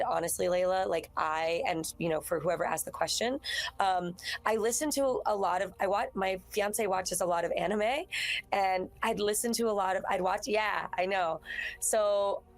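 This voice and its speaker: A woman with a naturally raspy voice, a voice actress answering interview questions in a loose, rambling way. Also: mid-range voice. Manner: rambling, restarts sentences, acts out her own thoughts. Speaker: voice actress guest. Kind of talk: casual interview chat.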